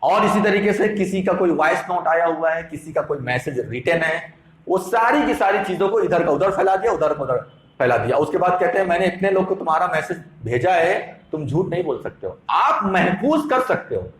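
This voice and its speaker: A man's voice: on the high side, expressive, normal speed. A man preaching an Islamic sermon, emphatic and didactic, repeating phrases for effect. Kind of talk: Islamic sermon with Quran quotations. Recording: echoey room sound.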